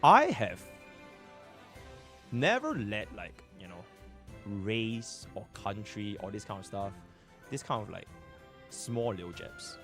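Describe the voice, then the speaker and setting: A man with a Singaporean accent speaking on a livestream, halting and filler-heavy. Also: on the low side, sing-song, fairly bright tone, faint music behind. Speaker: streamer. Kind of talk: livestream talk.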